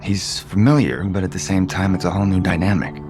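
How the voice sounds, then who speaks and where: A man's voice: low-pitched, fairly even intonation, fairly quick, music in the background, close to an everyday voice. A male actor playing a guarded, conflicted adult son and unhappily married father in an audio soap opera. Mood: tentative. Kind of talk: naturalistic soap opera acting.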